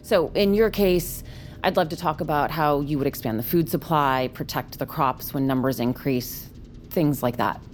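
An actress playing an officious, composed and proud archivist in a naturalistic audio drama. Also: female voice, deep voice, normal speed, slight background noise, close to an everyday voice. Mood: officious, polite.

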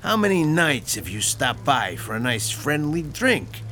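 An actor with a Brooklyn accent playing a vain, self-taught mob boss of about thirty in a radio drama, who keeps correcting his own rough grammar. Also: fairly high pitch, big pitch swings, measured pace, bright, forceful tone, slight background noise, exaggerated voice. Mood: boastful. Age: 30s. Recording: radio drama with music behind.

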